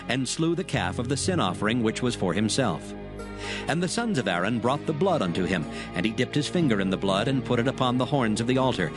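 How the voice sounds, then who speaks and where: A man narrating scripture from a script in a measured, solemn manner. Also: mid-range voice. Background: music.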